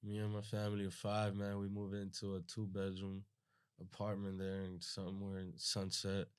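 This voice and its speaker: Colombian-born guy, spanish is his first language, chill slangy podcast talk, deep-ish smooth even voice. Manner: laid-back, slangy, unhurried. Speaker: guest, entrepreneur.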